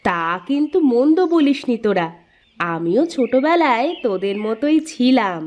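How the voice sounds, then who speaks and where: A woman's voice: on the high side, lively intonation, normal speed, lightly acted. A woman voicing a kindly, teasing middle-aged village aunt in a cartoon. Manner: warm, teasing, motherly. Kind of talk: cartoon voice acting.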